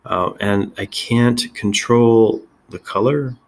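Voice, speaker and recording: Slow talking guy w a low voice doing a casual software demo, kinda halting, thinking out loud. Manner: halting, thinking aloud while demonstrating. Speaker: tech video host.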